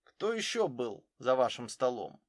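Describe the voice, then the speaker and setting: A man's voice: medium pitch, sing-song, unhurried, no echo, clean studio sound. A man voicing a courteous male detective who asks precise, probing questions in an audiobook. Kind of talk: audiobook character dialogue.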